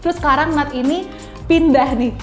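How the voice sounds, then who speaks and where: A woman's voice: high-pitched, normal speed. A young woman hosting a casual, chatty interview, reacting often in an informal way. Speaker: host. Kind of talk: casual talk-show interview. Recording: roomy echo with music behind.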